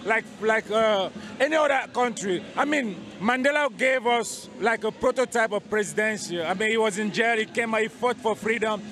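Hesitant high-voiced man from Cameroon. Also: moderate pace, few pauses, a bit bright. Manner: hesitant, searching for words. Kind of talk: street interview.

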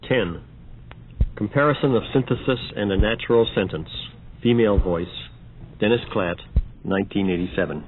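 A man's voice: fairly low pitch, moderate pace. A man narrating an audio demonstration, reading scripted announcements. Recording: dull, noisy old record transfer.

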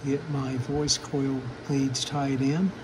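An older man giving a casual, matter-of-fact, halting narration of hands-on repair work at a workbench. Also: medium pitch, little pitch movement, noisy background. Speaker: vintage radio repair hobbyist, channel host.

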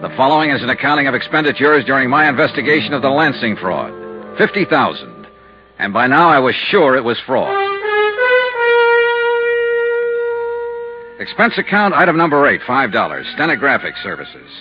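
A man's voice: mid-range voice, very animated, fairly quick, natural voice. An American man acting a tough, shrewd insurance investigator in 1950s radio drama, with a clipped, pressing, hard-boiled delivery. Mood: businesslike. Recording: dull 1950s radio recording with music.

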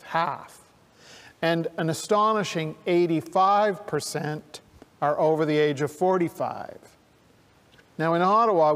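An older male minister delivers his sermon at a slow, unhurried pace, his voice sitting a little high for a man. He speaks earnestly with deliberate pauses into a pulpit mic, and the sound rings out with the long reverb of a church sanctuary. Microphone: pulpit microphone.

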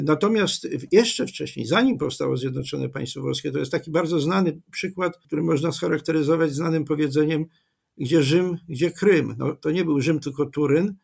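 An older man, a history professor, giving long, measured answers with many fillers and self-corrections, speaking from a home study over a webcam video call. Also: mid-range voice, gravelly, a little mellow, breathy edge. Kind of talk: lecture-style interview answer.

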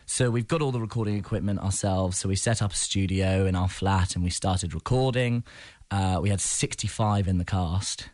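A young English man talks casually as a radio interview guest. His voice sits fairly low, and the close studio recording is dry, with no room echo. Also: moderate pace, darkish tone. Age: young adult. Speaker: theatre director, interview guest. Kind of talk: casual conversational interview answers.

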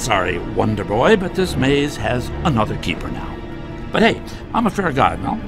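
An actor playing a sarcastic, scheming underworld god in a video game, with glib, theatrical patter. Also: male voice, mid-range voice, wildly swooping pitch, normal speed, creaky, over music. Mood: smug. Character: sardonic, fast-talking scheming god of the underworld. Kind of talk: animated video game voice acting.

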